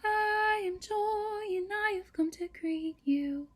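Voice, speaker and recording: Small female songbird, put on by a woman reader, high-pitched, slow singsong delivery, cheerful, gentle, storybook character. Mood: cheerful singing greeting. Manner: singing her lines slowly.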